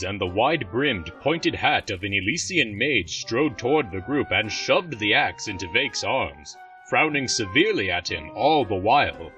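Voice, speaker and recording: A man's voice: lowish voice, pushed and bright, dull audio. A man narrating a scripted fantasy story as the narrator of an audio drama.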